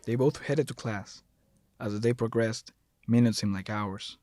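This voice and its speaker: A man's voice: fairly low pitch, fairly even intonation, a little slow, darkish tone. A man reading scripted third-person narration for a dramatic audio story.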